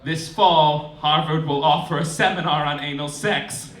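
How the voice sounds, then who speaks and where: A man's voice: fairly high pitch, fairly even intonation, unhurried, a crowd in the background. A man doing a satirical stand-up monologue as host, in a campy, theatrical manner with mock-formal announcements. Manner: campy, theatrical, swooping emphasis. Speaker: stand-up comedian hosting a live show. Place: indoor cabaret club stage. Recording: roomy live stage, distant, clearly noisy.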